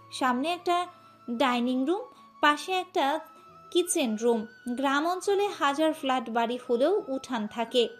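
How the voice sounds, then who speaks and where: A woman reading a scripted audio story as its narrator, with music behind her. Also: sits high. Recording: music under the voice. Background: background music.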